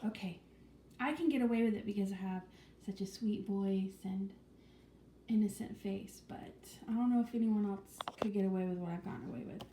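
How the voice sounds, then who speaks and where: A woman talks casually to her viewers, wandering through her thoughts with plenty of ums, restarts and the odd whisper. Her voice is very dark and mellow, at a middling pitch for a woman. It is recorded in an echoey room with a faint background hiss. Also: brisk. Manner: chatty, rambling, frequent ums and restarts; drops to a whisper at times. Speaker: vlogger. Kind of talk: casual vlog chat.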